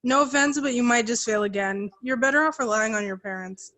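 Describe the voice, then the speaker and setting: A woman's voice: on the high side, brisk, sharp tone. A young woman acting in a play as a sarcastic teenage girl who talks to her friend bluntly and dryly. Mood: bluntly teasing. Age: young adult. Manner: blunt, dry. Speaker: student actor in a school play. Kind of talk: one-act play acting.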